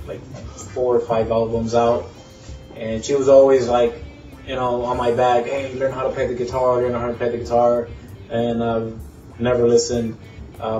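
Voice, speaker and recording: A man in his thirties from Puerto Rico, a band's singer and guitarist, introducing himself in a casual, halting way with many fillers and restarts. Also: medium pitch, darkish tone. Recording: roomy, distant, dull sound with faint music.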